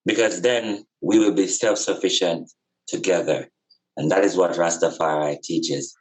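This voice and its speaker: A man with a fairly low voice reasons aloud in a casual, reflective style. He is earnest and emphatic, circling back and repeating phrases to drive each point home. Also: steady tone, measured pace, low-quality recording. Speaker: podcast guest speaker on spirituality and community.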